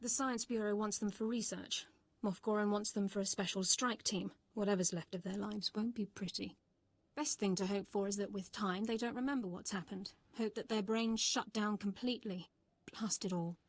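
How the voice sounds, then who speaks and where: A woman's voice: mid-range voice, fairly even intonation, moderate pace, no echo, natural voice. A troubled female officer from a sci-fi game , played by a woman. Her mid-range voice is blunt and restrained with bitterness underneath, recorded close and dry. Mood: troubled anger. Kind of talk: video game voice acting.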